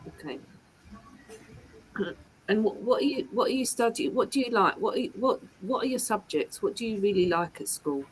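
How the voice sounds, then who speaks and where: A woman's voice: medium pitch, expressive, moderate pace, slight background noise, low-quality recording. A woman from England, tutoring a learner one to one and repeating and rephrasing her questions patiently. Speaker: English tutor. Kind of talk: online one-to-one English lesson.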